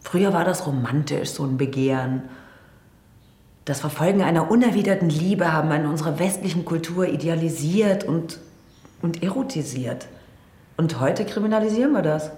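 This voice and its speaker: An actress plays a troubled, articulate woman writer with a fairly low voice. She speaks in a naturalistic radio drama manner, reflective and guarded, disillusioned with love. The space around her is audibly roomy. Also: normal speed, dark tone, natural voice. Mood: weary, reflective.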